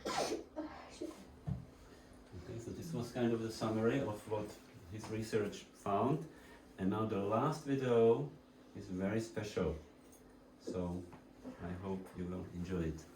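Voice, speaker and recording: Unhurried man presenting in an echoey hall. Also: on the low side, darkish tone, slight background noise. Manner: plain, unhurried, with fillers. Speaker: church presenter introducing videos. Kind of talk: casual presentation to a congregation. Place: church hall. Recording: distant voice in an echoey church hall.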